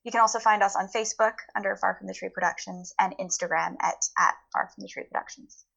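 A woman's voice: mid-range voice, fairly even intonation, quick pace, creaky, a bit bright, lo-fi sound. A woman talking casually and emphatically as an interview guest about her work as a performer. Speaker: interview guest, voice actor and audio play producer.

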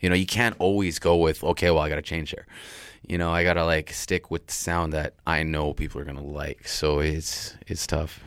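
A man with a deep voice, a rapper talking loosely in an interview with many restarts. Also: little pitch movement, moderate pace, clear, resonant tone, no echo, studio quality. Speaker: rapper guest. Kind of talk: casual interview talk.

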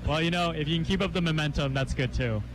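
A young man hosting a live campus radio interview, chatty and quick with asides and laughs. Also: a little high, over music, poor audio. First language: Cantonese.

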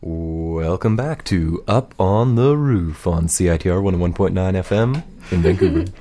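Co-host, very low and mellow, joking easily and introducing songs in casual banter. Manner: light, joking, quick to laugh. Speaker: radio co-host.

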